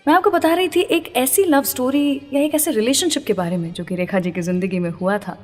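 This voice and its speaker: A woman's voice: high voice, fairly quick, a little mellow, in a large hall, soft background music. A woman hosting a radio show, telling stories to listeners in a dramatic, teasing way with rhetorical questions. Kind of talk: radio presenting, storytelling to listeners.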